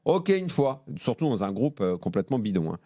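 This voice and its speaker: An emphatic man pundit holds forth in a heated studio debate, his mellow, darkish voice pushing point after point and restarting sentences as he goes. The sound is close and dry, with no room around it. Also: on the low side, big pitch swings, fairly quick, no echo. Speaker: football pundit. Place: radio and TV studio.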